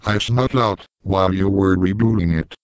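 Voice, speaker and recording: A low, dry synthetic man's voice as a sentient computer villain in a comedy skit. It delivers taunting threats in a clean, deadpan robotic way. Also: normal speed, smooth, no room sound, natural voice. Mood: menacing. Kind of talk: scripted homemade comedy skit.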